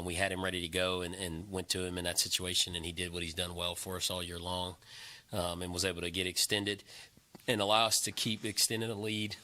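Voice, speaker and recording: Deep, forceful, halting American coach. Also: male voice. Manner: halting, frequent um, uh and throat clearing. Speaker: head coach. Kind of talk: post-game press conference answer.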